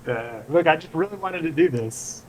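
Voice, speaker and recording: A man's voice: fairly high pitch, lively intonation, moderate pace, a little hiss or hum, low-quality recording. A man guesting on a podcast, explaining something in casual chat, hesitant and trailing off as he searches for words. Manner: hesitant, many fillers and restarts, trails off.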